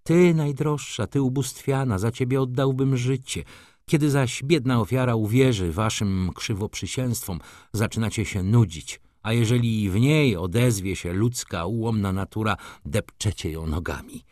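A man voicing an imperious, flirtatious society lady from a novel, commanding and theatrical, quick to scornful indignation. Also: mid-range voice, a little mellow, dry recording, studio quality, voice pushed up, lightly acted. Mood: indignant, scornful.

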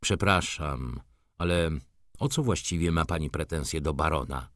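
A man playing a reserved, polite gentleman in a novel, subdued and measured. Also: a bass voice, no echo, clean studio sound. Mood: calm, polite. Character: reserved, shy, ailing gentleman, politely firm.

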